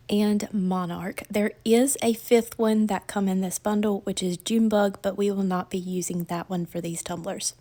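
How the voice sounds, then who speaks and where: Mellow woman hosting a tutorial. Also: medium pitch. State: under the weather, slightly crackly voice. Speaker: crafting tutorial host. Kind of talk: casual tutorial narration.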